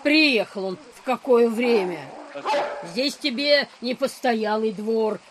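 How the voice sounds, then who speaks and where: A woman's voice: a little high, slow pace, noisy background. An actress playing a suspicious, grumpy old country servant woman in an audio drama, scolding in folksy speech.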